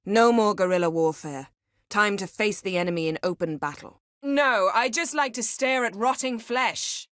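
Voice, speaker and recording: A woman playing a proud, sardonic, commanding sorceress in a video game, speaking in dry, measured, formal phrases. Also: mid-range voice, a bit bright, dry recording, lightly acted. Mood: stern, sardonic.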